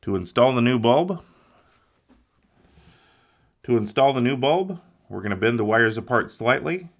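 A man's voice: lowish voice, gravelly, a bit bright. A man walking through an electronics repair step by step in a plain, methodical way. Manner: methodical, plain instructional delivery. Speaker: repair instructor.

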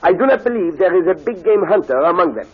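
A man's voice: high voice, expressive, fast talker. A man playing a proud, formal Belgian detective with a French-speaking Belgian accent in a 1940s radio mystery. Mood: wry, courteous. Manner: formal, precise, polite. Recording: muffled, narrow-band old radio recording.

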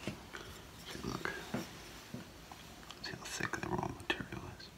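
A middle-aged man whispering in a halting, hushed ASMR role play as an appraiser, breathy and gravelly, close to the mic. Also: background noise. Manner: slow, hushed, halting, with long pauses. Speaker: ASMR performer role-playing a gemologist. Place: small curtained room indoors.

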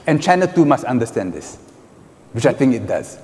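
A man on a conference panel, arguing his points in a measured, emphatic way with hand gestures. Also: mid-range voice, sing-song, darkish tone. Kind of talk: panel discussion. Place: large conference hall stage. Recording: reverberant conference hall.

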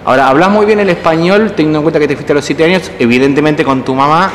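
A man with an Argentine Rioplatense accent who interviews his guests in a brisk way, using short prompting questions. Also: fairly high pitch, fairly bright tone, long reverb tail, slight background noise. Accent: Rioplatense Spanish. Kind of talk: journalist interview.

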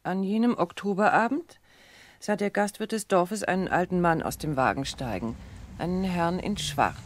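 An actress playing a modest, dutiful grown daughter in a German radio drama, gentle and solicitous in manner. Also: female voice, lowish voice, normal speed, close to an everyday voice. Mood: polite, attentive.

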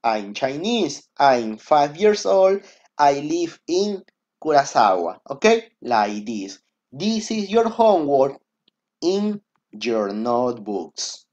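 A man's voice: on the high side, sing-song, slow pace, darkish tone. A Peruvian man in his twenties, a native Spanish speaker, teaching schoolchildren in a recorded lesson with encouraging, simply repeated instructions. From Peru. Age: 20s. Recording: dull, lo-fi screen-recording audio.

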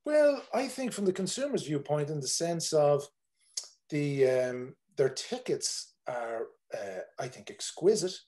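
A man's voice: fairly high pitch, very animated, a little slow, mellow. A man in his forties with an Irish accent, a tour operator talking casually on a video call, rambling with many fillers and restarts. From Ireland. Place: home room, indoors.

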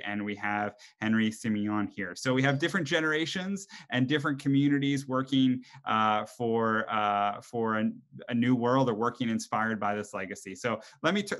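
The man you would hear opening an online webinar from a room at home, mid-pitched, feeling his way through introductions to the guests. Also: fairly bright tone. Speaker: webinar moderator and host; college professor. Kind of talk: informal hosting of an online panel. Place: indoor room at home, video call. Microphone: computer microphone on a video call.

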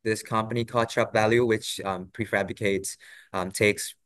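Young guy from thailand on a video call, low smooth voice, lots of ums. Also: steady tone, normal speed, fairly bright tone, dry recording. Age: 20s. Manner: hesitant, many ums and restarts. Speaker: portfolio presenter. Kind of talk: casual presentation.

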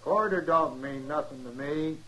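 An actor playing a bitter, menacing gunslinger in a 1950s radio western, with an American accent and folksy speech. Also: male voice, a little high, a little slow, a bit bright. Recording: noisy 1950s radio recording.

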